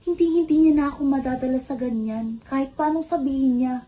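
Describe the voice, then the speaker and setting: A woman's voice: high-pitched, fairly quick, dark tone, lo-fi sound, close to an everyday voice. A Filipino woman playing a rebellious student, the younger sister in a stage drama, with a theatrical, exasperated, sarcastic delivery. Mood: scornful, bitter. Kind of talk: amateur one-act stage play acting in Filipino. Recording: faint background noise.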